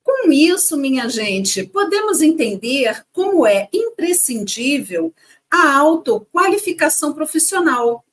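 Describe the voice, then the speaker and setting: A woman's voice: sits high, very animated, unhurried. A woman co-hosting a casual chat, reading parts of a script and talking chattily to viewers. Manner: chatty, addresses audience as "gente". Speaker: co-host.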